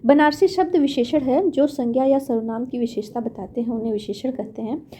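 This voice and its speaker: A woman teaching exam-prep grammar, going through questions step by step in a patient way, repeating points and pausing on thinking fillers. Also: high-pitched, mellow, a little hiss or hum.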